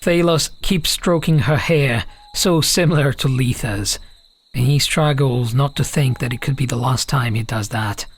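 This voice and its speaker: A man's voice: medium pitch, unhurried. A man reading a scripted fantasy story as an audiobook narrator.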